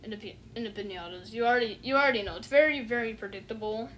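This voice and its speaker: A woman's voice: on the high side, rapid-fire, fairly bright tone, slight background noise, low-quality recording. Rambling, unimpressed woman reviewer. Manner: rambling, heavy fillers, repeats "you know what I'm saying"; dismissive, unimpressed. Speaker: online reviewer. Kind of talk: casual spoken review.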